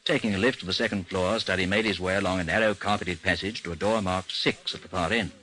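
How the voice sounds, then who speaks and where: An actor playing a composed, dry investigation chief in an old radio drama, speaking and narrating in a formal, understated way. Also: male voice, low voice, fairly even intonation, quick pace, sharp tone, rough recording. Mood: measured narrating. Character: composed, dry British chief of a missing persons bureau, narrating his case.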